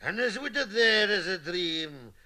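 A man's voice: high voice, animated, measured pace, sharp tone, full character voice. An actor playing an old Irish father in a radio play, speaking in an impassioned, declamatory, repetitive way. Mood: emphatic, wistful.

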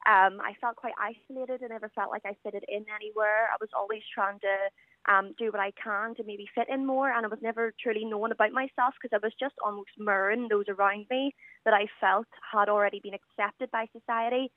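A woman's voice: medium pitch, steady tone, brisk, no echo. Chatty northern irish girl, early twenties, on a phone line, sounds tinny and dry. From Northern Ireland. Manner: confident, chatty, many fillers. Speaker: guest caller. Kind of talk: casual radio interview over the phone. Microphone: telephone.

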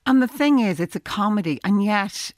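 A woman's voice: mid-range voice, expressive, moderate pace, dry recording, clean studio sound. A woman hosting a radio interview, encouraging her guest and reading out listener messages. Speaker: radio host.

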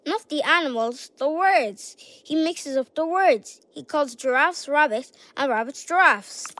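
A woman's voice: high-pitched, animated, measured pace, a bit bright, no echo. A woman playing a friendly, curious, chatty young child in a children's audio drama, polite and matter-of-fact. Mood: chatty, explaining.

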